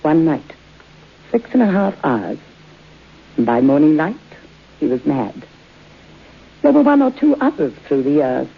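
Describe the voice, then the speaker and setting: A woman's voice: low-pitched, sing-song, measured pace, vocal fry, long pauses, breathy edge, exaggerated voice. An older woman acting an imperious old aristocratic lady in a radio horror drama, speaking formally and commandingly with theatrical delivery. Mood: grave storytelling. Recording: dull, muffled old radio broadcast.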